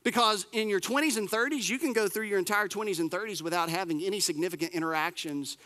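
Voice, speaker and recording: A man, preaching a sermon as pastor, lively and emphatic with repeated phrases and casual asides. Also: high-pitched, long reverb tail. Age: 40s.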